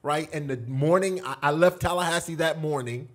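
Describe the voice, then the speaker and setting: A middle-aged man casually telling animated stories as a podcast guest, in a small studio on a boom mic. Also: normal speed. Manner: animated, gestures a lot while telling prison stories. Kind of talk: casual storytelling. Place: small studio with foam panels. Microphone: boom mic on table.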